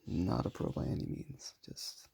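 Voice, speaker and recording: A young man with a raspy voice, talking casually and modestly while showing his sketches. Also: measured pace. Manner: soft-spoken, self-deprecating, rambling.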